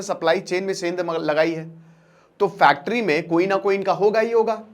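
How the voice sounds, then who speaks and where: High-pitched man giving an informal explainer. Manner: colloquial, addresses listeners directly, repeats phrases for emphasis. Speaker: current affairs educator and channel presenter. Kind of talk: informal explainer lecture, storytelling with acted-out dialogue.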